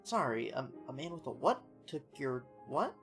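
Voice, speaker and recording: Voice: mid-range voice, sing-song, moderate pace. A streamer with a nasal voice playing a courteous, formal male lawyer in a video game. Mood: puzzled. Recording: music in the background.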